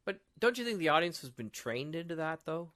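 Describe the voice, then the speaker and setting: A man's voice: fairly high pitch, big pitch swings, brisk, a bit bright. A middle-aged man co-hosting a podcast in casual discussion, arguing his point in a rambling way and often seeking agreement. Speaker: podcast co-host. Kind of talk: animated casual panel discussion.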